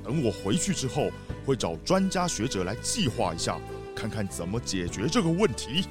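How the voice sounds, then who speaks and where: A man playing a pompous, excitable city mayor in a children's radio drama, with a theatrical, official-sounding manner. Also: medium pitch, moderate pace, over music, close to an everyday voice. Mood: official resolve.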